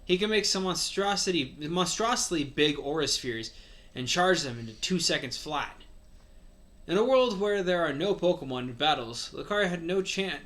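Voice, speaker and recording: A man's voice: on the high side, a bit bright. A man reading a story aloud as a co-host, slipping in casual asides.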